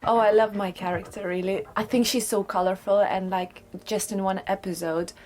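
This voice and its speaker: An actress talking enthusiastically in a behind-the-scenes interview. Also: female voice, medium pitch, fairly even intonation, moderate pace, a little mellow, slight background noise.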